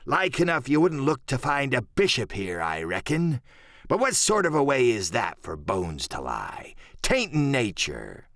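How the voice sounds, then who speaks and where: A man's voice: mid-range voice, wildly swooping pitch, measured pace, a bit bright, no room sound, clean studio sound, full character voice. A man voicing a wily, commanding pirate ship's cook in an audiobook, with a salty, theatrical sailor's drawl. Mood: shrewd, pondering. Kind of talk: audiobook character acting.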